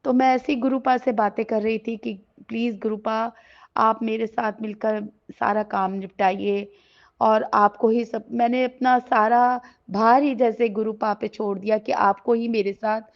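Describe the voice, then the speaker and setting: A middle-aged woman with a sore throat, sharing a devotional gratitude talk at home before a shrine, in a gentle, halting way with many pauses. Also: a little high, level tone. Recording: slightly dull lo-fi recording.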